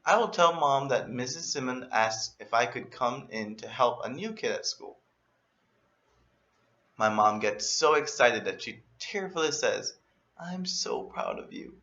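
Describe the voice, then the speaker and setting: Guy reading a kids book out loud, bright punchy voice, really expressive, bit muffled lo-fi audio. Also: mid-range voice, measured pace. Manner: expressive, acts out emphasis and exclamations. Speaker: storyteller reading a children's book. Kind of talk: animated children's story read-aloud.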